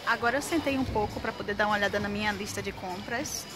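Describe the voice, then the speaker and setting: A woman's voice: mid-range voice, fast talker, music in the background. A young woman from Salvador with a Bahian Brazilian accent, chatting casually and informally to a handheld camera as a vlogger. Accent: Bahian Brazilian Portuguese. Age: young adult. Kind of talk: casual vlog chat to camera. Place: at home and walking on city streets. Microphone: handheld camera at arm's length. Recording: noisy handheld recording with ambient noise.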